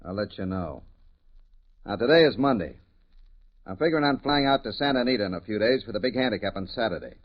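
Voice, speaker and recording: A man's voice: on the low side, animated, quick pace. A man playing a smug, bullying gambling blackmailer in a 1950s radio drama, with a slangy, commanding delivery. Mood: menacing. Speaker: radio actor. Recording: dull vintage radio recording.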